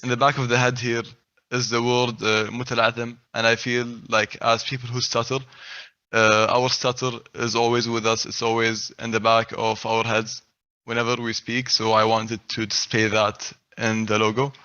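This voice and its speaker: A man's voice: medium pitch, monotone, moderate pace, pushed and bright, dry recording. A young man from Saudi Arabia giving a personal talk at a stuttering event, speaking with a heavy stutter that repeats first sounds. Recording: dry, slightly dull sound.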